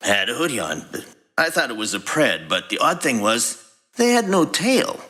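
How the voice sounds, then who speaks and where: A man's voice: medium pitch, very animated, moderate pace, sharp tone. A man voicing a kindly old otter who runs a garage and works as a mechanic, in acted audio fiction. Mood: casual.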